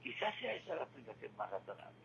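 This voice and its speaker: An older man giving a reflective radio monologue, halting and repeating words, with rhetorical questions. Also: medium pitch, sing-song, highs cut off. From Spain. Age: 60s or older. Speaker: retired regular contributor giving commentary. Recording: clearly noisy radio feed.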